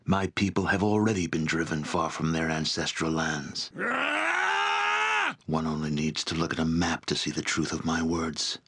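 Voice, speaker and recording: An actor playing a proud, embittered barbarian chieftain in a fantasy game, speaking in solemn, formal, deliberate oratory. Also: male voice, a bass voice, flat delivery, husky. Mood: grave, resentful. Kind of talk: scripted fantasy game voice acting.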